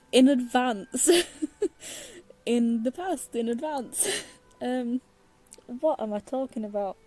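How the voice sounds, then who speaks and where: A woman's voice: fairly high pitch, a little mellow. A woman streaming a video game with casual, rambling commentary, giggly and often interrupting herself. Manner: giggly, self-interrupting, meandering.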